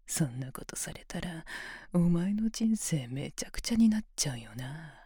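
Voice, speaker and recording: A woman playing a low, breathy domineering examiner. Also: sing-song, moderate pace, mellow, dry recording, heavily acted. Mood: whispered taunting. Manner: taunting, commanding, mostly whispered close to the ear. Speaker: voice actor in an audio roleplay. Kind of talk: whispered ASMR roleplay.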